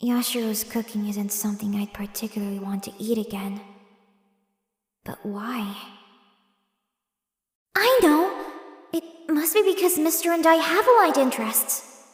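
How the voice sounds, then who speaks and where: A woman's voice: fairly high pitch, measured pace, long pauses, natural voice. A woman voicing a guarded, prickly young girl in an anime fan dub, stubborn, earnest and defensive, with long reverb on her voice. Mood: puzzled, stubborn.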